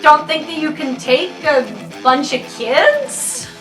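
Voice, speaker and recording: A woman's voice: high-pitched, big pitch swings, takes it slowly. A woman improvising casually as a player in a tabletop roleplaying game. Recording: roomy, distant mic, music underneath. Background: music.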